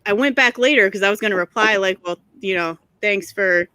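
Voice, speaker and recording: A woman with a bright, forward voice chats loosely on a livestream, laughing easily and trading sarcastic asides. The sound is dry and close, with almost no room around her. She talks from her garage. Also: mid-range voice, a little fast, smooth, dry recording. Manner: laughs often, sarcastic, filler-heavy storytelling. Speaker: guest, motorcycle video creator. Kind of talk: casual livestream chat. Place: home garage.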